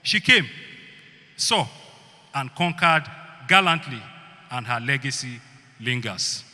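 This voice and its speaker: A man with a Nigerian accent giving a prepared, grandiloquent formal address as a producer, in deliberate phrases. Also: medium pitch, lively intonation, sharp tone. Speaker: executive producer giving a speech. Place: large banquet hall. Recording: reverberant hall PA sound.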